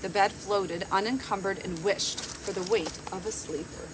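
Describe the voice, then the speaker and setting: A woman around forty reading a picture book aloud in a measured, expressive storytelling way. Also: medium pitch. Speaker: library storytime reader. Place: outdoors on a garden bench. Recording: outdoor background noise with insects.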